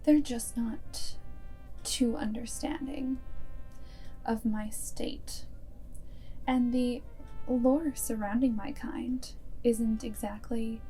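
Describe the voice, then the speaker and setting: Very slow, soft-spoken vampire girlfriend, acted by a woman. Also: a little high, fairly even intonation, soft background music, low-quality recording. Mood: guarded, tender. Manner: unhurried, deliberate phrasing with breathy whispered stretches. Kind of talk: ASMR roleplay, half-whispered.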